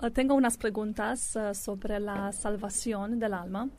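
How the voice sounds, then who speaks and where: A woman who has been learning Spanish for only a few months asks questions carefully, pausing often as she searches for words. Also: mid-range voice, fairly even intonation, darkish tone, a little hiss or hum. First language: not Spanish; learning it for a few months.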